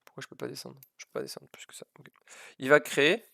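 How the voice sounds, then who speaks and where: A man teaches physics on a live stream in a casual, self-deprecating way. His voice is medium-pitched with a gravelly edge, recorded very dry. He hesitates and repeats himself, leaning on the key words. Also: wildly swooping pitch, quick pace, sharp tone, dry recording. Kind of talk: informal live lesson, explaining a demonstration.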